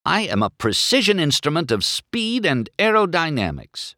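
Guy doing a cocky young race car in a storybook, whiny, full of himself, high and swoopy. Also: wildly swooping pitch, unhurried, fairly bright tone, no room sound, studio quality, heavily acted. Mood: indignant. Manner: whiny, self-important.

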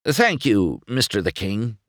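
A man's voice: on the low side, sing-song, unhurried, no echo, studio quality, pitched above the performer's own voice. A man voicing a cocky young male rookie race car. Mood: eager.

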